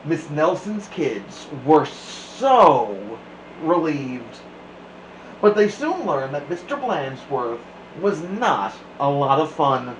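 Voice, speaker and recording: Expressive, slow-paced male storyteller. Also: fairly high pitch. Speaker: storytime host and reader. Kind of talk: expressive read-aloud narration for children. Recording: echoey room with faint noise.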